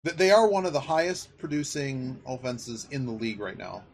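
A man's voice: medium pitch, normal speed, vocal fry, a little mellow, slightly rough. A man hosting a casual sports betting chat, talking in a rambling, analytical way as he thinks aloud. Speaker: podcast host.

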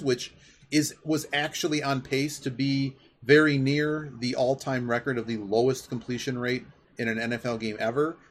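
A man hosting a podcast, chatting casually about sports in a rambling, enthusiastic way. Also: mid-range voice. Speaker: podcast host. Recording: clearly audible background noise.

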